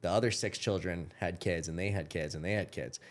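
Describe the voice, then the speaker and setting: Man, 30s, podcast host, low voice, loose rambling talk, restarts, swears casually. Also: fairly quick. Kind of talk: casual chat.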